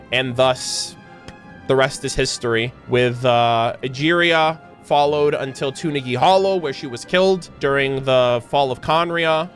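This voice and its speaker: A man's voice: medium pitch, fairly bright tone. A man hosting a gaming reaction, reading lore aloud and commenting on it casually.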